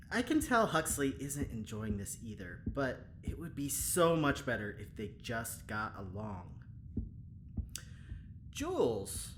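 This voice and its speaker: An actor playing a talkative, well-meaning prison guard in an audio drama, chatty and coaxing in manner. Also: male voice, medium pitch. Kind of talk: audio drama acting, spoken log. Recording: clearly noisy, ambient background.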